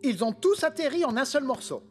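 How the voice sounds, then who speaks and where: An actress playing a brisk, earnest team assistant in a French-dubbed cartoon. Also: female voice, sits high, very animated, heavy background noise. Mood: concerned, efficient.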